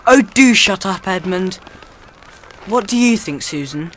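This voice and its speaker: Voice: medium pitch, lively intonation, measured pace, a bit bright, a little hiss or hum. An actor in a radio drama playing a decisive, fair-minded schoolboy eldest brother with a British accent. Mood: stern.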